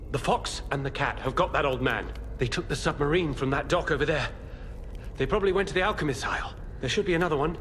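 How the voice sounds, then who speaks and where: An actor playing a self-serving, cowardly schemer in a video game, evasive, hesitant and ingratiating. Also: male voice, a little high, a bit bright, in a large hall, a little hiss or hum. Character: shifty self-serving male hotel guest, cowardly schemer.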